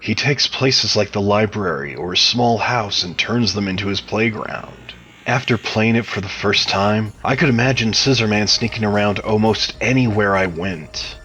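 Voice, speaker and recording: A man's voice: fairly low pitch, normal speed, bright, forceful tone, a little hiss or hum. A man giving prepared answers as a podcast interview guest, sounding like he reads them out. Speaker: interview guest, game mod developer.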